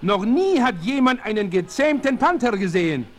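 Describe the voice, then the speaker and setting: A man's voice: very high-pitched, big pitch swings, normal speed, heavily acted. An actor playing a boastful, daring circus showman and animal tamer, announcing grandly in a 1960s radio play. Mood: grandiose showmanship. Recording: vintage vinyl radio play, faint noise.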